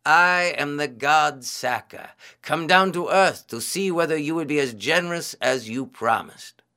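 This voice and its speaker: A man voicing a storybook god disguised as a hungry priest, drawn-out and declamatory. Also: fairly high pitch, bright, forceful tone, pitched above the performer's own voice. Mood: solemn, kindly.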